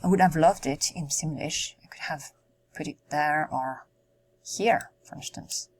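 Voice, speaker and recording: Woman, low husky voice, slow unhurried delivery, thinking aloud as she builds. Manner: musing aloud, halting, frequent ums. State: losing her voice. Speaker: Sims content creator. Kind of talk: casual gameplay commentary while building.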